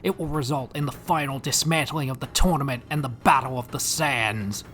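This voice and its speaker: A man's voice: mid-range voice, normal speed, a bit bright, faint music behind. A man reading a dramatic scripted narration.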